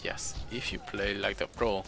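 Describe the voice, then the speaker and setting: A man's voice: fairly low pitch, little pitch movement, a little slow, gravelly, over music. A young man with a Hungarian accent, English not his first language, giving rambling, chuckling, self-deprecating commentary over gameplay. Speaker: game streamer.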